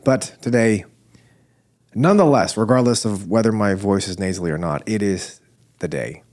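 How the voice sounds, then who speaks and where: A man's voice: fairly low pitch, moderate pace, a little mellow. A man hosting a church podcast with a nasal voice, chatting casually and joking at his own expense. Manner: joking, self-deprecating, rambling with restarts. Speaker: podcast host. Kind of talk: casual chat.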